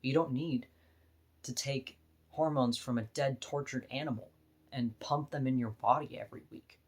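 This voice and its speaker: A woman with a deep voice, talking bluntly and frankly in a candid personal vlog monologue, laughing now and then between points. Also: steady tone, normal speed, a little mellow, breathy edge. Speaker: vlogger.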